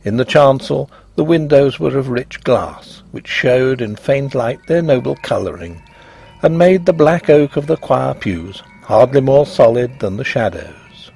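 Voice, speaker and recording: Guy reading a ghost story, expressive and dramatic, bit gravelly, swoopy pitch. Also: unhurried. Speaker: story narrator.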